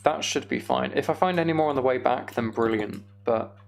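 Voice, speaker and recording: Male game streamer, British, gravelly fry in an average-pitched voice, offhand running commentary with dry asides. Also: a little fast. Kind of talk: casual Minecraft playthrough commentary, chatting with viewers.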